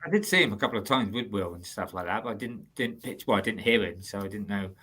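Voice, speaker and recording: A man's voice: fairly low pitch, lively intonation, quick pace, pushed and bright. A man co-hosting a casual chat, teasing the guests good-naturedly. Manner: teasing, jokey.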